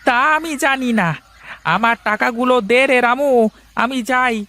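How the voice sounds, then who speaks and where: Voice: sits high, fairly even intonation, moderate pace, pushed and bright. A performer voicing a nagging village shopkeeper in a cartoon, scolding and chiding as he demands money. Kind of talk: cartoon voice acting.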